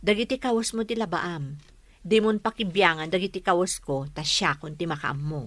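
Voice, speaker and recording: A woman plays a protective, hardworking big sister in a radio drama. Her voice is fairly low and closely recorded, with a dry sound. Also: normal speed, no room sound, close to an everyday voice. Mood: caring, firm.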